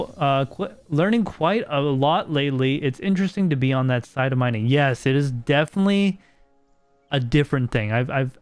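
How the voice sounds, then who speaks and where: This is an American man hosting a casual livestream. His voice sits a little high and sounds clean and smooth. He chats loosely, repeating words as he thinks aloud, over faint music in the background. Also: no echo. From United States. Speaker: podcast livestream host. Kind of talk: casual livestream chat, reading out viewer messages.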